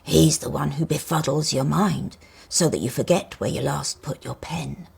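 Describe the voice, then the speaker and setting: A woman voicing an old rusty male toy car in a storybook, grave and wise, croaky, explaining things slowly and ominously. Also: sits low, darkish tone, full character voice.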